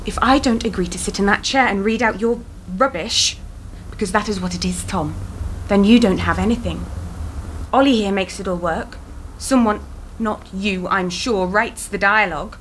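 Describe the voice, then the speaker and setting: A woman acts out a young radio play character who is haughty and sharp-tongued. Her delivery is pointed and defiant, recorded with slight noise behind it. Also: mid-range voice, close to an everyday voice. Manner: snippy, pointed.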